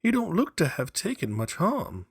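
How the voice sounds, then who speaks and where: A man voicing an elderly wife and mother in a storybook reading, gentle, polite and soothing. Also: high voice, huge pitch swings, normal speed, creaky, slightly rough, no room sound, clean studio sound, pitched above the performer's own voice, full character voice.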